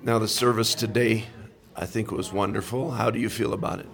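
Deep-voiced podcast host saying amen. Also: male voice, fairly even intonation, brisk, vocal fry. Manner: warm, affirming, frequent amens. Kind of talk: interview on a mission trip.

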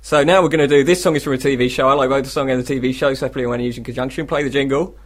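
A man's voice: mid-range voice, fairly even intonation, very fast. A British man co-hosting a radio show in casual comedic banter, with a rambling, giggly, silly manner. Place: radio studio.

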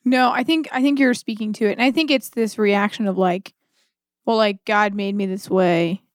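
A woman's voice: mid-range voice, normal speed, dry recording, clean studio sound. A woman co-hosting a podcast, reflecting on religious ideas conversationally, with frequent use of "like". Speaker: podcast co-host. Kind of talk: casual religious discussion.

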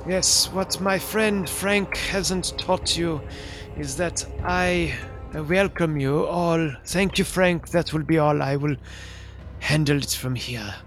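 A man's voice: a little high, slow, music in the background. A man playing a formal, grandiose master of games in a tabletop roleplay. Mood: stately, welcoming.

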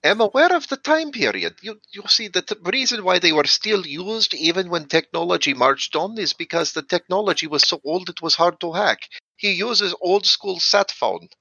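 A man chatting as a player in a tabletop roleplaying game, explaining things in a lively way. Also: sits high, moderate pace, few pauses, breathy edge, dry recording, lo-fi sound.

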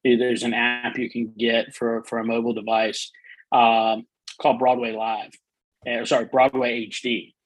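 Middle-aged male guest, soothing husky timbre, eager and chatty, dry close sound. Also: mid-range voice, level tone, measured pace, no room sound, rough recording. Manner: enthusiastic, many fillers and restarts. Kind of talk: casual interview chat.

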